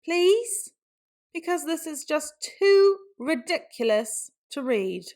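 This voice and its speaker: A woman's voice: high-pitched, big pitch swings, takes it slowly, a little mellow. A woman reading a children's book aloud in a theatrical storytelling style, with exaggerated exclamations.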